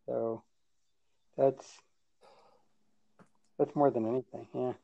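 A man raised with Yiddish and Hebrew, chatting casually in a plain, repetitive, low-key way. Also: steady tone, slow pace, creaky, muffled recording, slight background noise. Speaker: podcast co-host. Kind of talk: casual chat.

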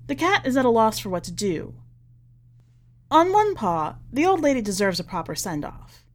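Woman narrating fiction with very animated pitch. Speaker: podcast host and story narrator. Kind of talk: expressive scripted narration of a short story.

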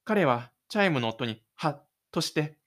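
Male narrator for audio drama, slightly high register, dramatic scripted storytelling. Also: animated, normal speed, a bit bright.

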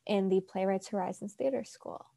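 A woman's voice: medium pitch, normal speed, vocal fry, warm tone. An eighteen-year-old woman, a company founder, telling her story in a chatty, filler-heavy way. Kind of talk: casual interview answer.

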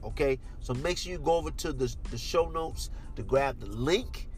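A young man hosting a podcast, reading sponsor spots with energetic hype and chatting casually with his guest. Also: normal speed, soft background music. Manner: energetic, hype-driven pitch with repeated "all right". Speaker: podcast host. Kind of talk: casual podcast ad reads and interview.

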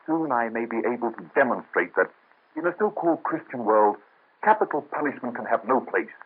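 An actor playing an earnest, anguished young physician in an old radio drama. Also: male voice, medium pitch, animated, brisk, slightly rough. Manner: earnest, melodramatic. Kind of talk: 1930s radio drama acting. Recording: tinny old radio recording.

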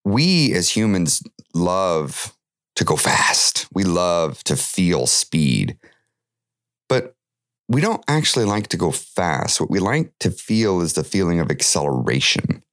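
A man's voice: low voice, very animated, slow, fairly bright tone, dry recording, studio quality. A man hosting his own podcast while fighting a cold, talking in an earnest, friendly, chatty way with lots of fillers. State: has a cold, slightly stuffy. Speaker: podcast host and weight loss coach. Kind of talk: solo podcast monologue.

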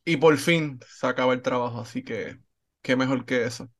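A man with a Puerto Rican accent co-hosting a podcast, teasing with a deadpan delivery. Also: medium pitch, moderate pace. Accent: Puerto Rican Spanish. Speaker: podcast co-host. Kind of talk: casual conversation.

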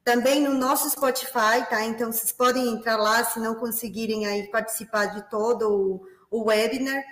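A woman's voice: on the high side, steady tone, moderate pace, fairly bright tone, echoey room, poor audio. A woman from Peru with a Spanish accent, hosting a corporate webinar in a friendly way and using many fillers. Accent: Peruvian Spanish. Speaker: webinar host, business development manager.

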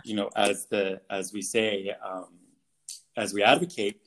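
A young Canadian man, a photographer, answers interview questions in a casual, earnest way, halting often with fillers and restarts. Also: lowish voice, fairly even intonation, a bit bright, low-quality recording. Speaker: guest photographer.

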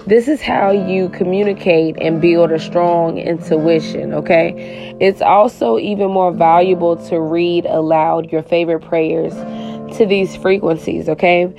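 A woman life coach with a raspy voice, giving a spiritual coaching talk and tagging her lines with "okay?". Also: on the low side. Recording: guitar music playing under the voice.

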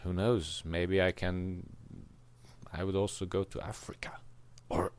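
Low-voiced Hungarian man, thoughtful. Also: steady tone, darkish tone. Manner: reflective, halting with restarts. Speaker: podcast co-host. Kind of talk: casual conversation.

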